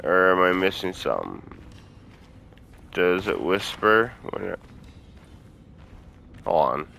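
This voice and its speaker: Deep, bright-voiced man doing game commentary. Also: very animated, slow, creaky, a little hiss or hum. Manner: informal, swearing, talking to viewers. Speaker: gaming video host. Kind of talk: casual let's-play commentary.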